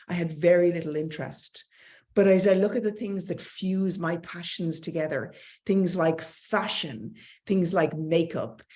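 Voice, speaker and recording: Irish woman, middle-aged, lowish voice, upbeat conference speaker with slides. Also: darkish tone. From Ireland. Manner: enthusiastic, conversational, self-deprecating asides. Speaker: tech company speaker.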